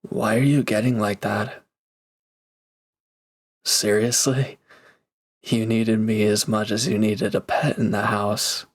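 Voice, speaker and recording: A man's voice: lowish voice, flat delivery, slow pace, long pauses. A man playing a reformed villain turned mercenary in an intimate roleplay audio drama, speaking casually and hesitantly with awkward, earnest gratitude. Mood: earnest, defensive.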